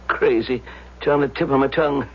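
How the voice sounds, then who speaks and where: An actor playing a shifty working-class motel manager, husky and evasive, with ungrammatical street talk, in 1970s radio drama style. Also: male voice, medium pitch, moderate pace. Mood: puzzled, hesitant. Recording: dull vintage radio sound.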